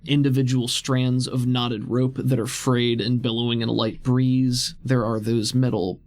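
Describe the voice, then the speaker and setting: A man's voice: mid-range voice, little pitch movement, measured pace, darkish tone. A man acting as game master, describing scenes in a conversational storytelling way for a tabletop roleplaying game. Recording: music behind the voice. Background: background music.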